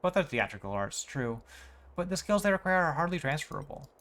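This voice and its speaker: A man's voice: on the high side, big pitch swings, a little fast, a bit bright, pitched above the performer's own voice. A man voicing a proud, worried female musical theater performer with formal phrasing, from game dialogue. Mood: principled, indignant. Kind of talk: reading game dialogue aloud.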